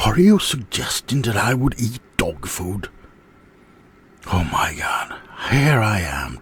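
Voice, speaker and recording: Man acting as a very deep, slow, offended werewolf. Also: a bit bright, slight background noise, full character voice. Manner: halting, aggrieved, often dropping into whispers. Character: offended, sulky adult male werewolf being interviewed. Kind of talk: ASMR character roleplay monologue.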